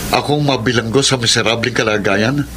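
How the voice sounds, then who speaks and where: An actor playing a bitter, hard-headed younger brother in a Filipino radio drama. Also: male voice, mid-range voice, fairly quick, fairly bright tone, a little hiss or hum, natural voice. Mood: defiant. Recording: music bed under the voice.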